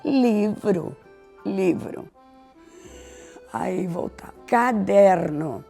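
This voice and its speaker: Older woman, slow and lively telling of old memories, dark gravelly voice. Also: lowish voice. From southern Brazil. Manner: animated storytelling, acts out classroom scenes. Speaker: interviewee. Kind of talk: recounting memories.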